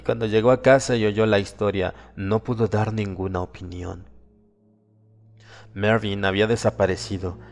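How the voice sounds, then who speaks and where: A man narrating a horror audiobook in a dramatic, solemn and suspenseful manner, with deliberate phrasing. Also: fairly low pitch. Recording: long reverb, music behind. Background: music.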